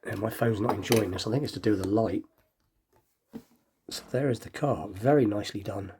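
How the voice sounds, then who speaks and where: A man's voice: lowish voice, fairly quick, a little mellow, slightly rough. A man with a slightly nasal, stuffed-up voice from a cold, chatting casually and thinking aloud as he hosts a hobby unboxing video.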